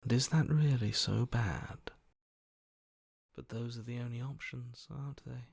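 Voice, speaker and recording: A man's voice: mid-range voice, unhurried, gravelly, darkish tone, slightly rough, no echo, clean studio sound. A man voicing a cold, amoral voice inside someone's head in a video game, detached, matter-of-fact and dryly sardonic. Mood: detached, philosophical. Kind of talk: video game character acting.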